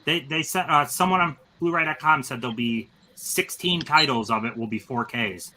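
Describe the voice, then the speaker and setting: Male host, 30s, bright tone, eager rambling delivery. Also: medium pitch, moderate pace. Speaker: stream host. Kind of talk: casual panel chat.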